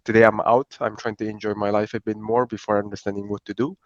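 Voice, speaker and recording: Low-voiced man, dry dull recording. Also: fast, no echo. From Italy. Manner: relaxed, rambling with many fillers. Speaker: guest. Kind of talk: conversational podcast interview.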